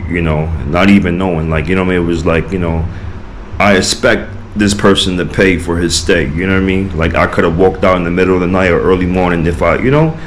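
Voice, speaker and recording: A man's voice: low-pitched, slight background noise, rough recording. An American man from New York, talking casually to camera as a travel vlogger on a beach, loose and chatty with lots of filler words. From United States, New York. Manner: loose and chatty, many "you know" and "like" fillers. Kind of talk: casual vlog chat to camera. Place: outdoors on a beach.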